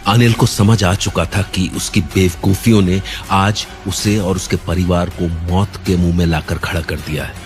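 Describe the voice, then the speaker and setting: A man's voice: low voice, steady tone, moderate pace, few pauses. A man narrating a cartoon horror story in a scripted, dramatic and suspenseful way. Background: faint background music.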